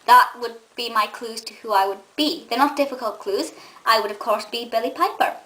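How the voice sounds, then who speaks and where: A young woman from England chatting casually to camera, enthusiastic and rambling, with a slightly gruff voice. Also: on the high side, moderate pace, sharp tone. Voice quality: says she has a gruff voice. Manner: enthusiastic and nostalgic, rambling with many ums. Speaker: vlogger and film reviewer.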